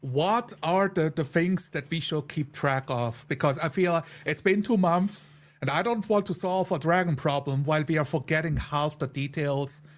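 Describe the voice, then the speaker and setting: A man's voice: a little high, normal speed, few pauses. A man chatting casually as a player on a livestreamed tabletop game, talkative, with a wry, joking manner.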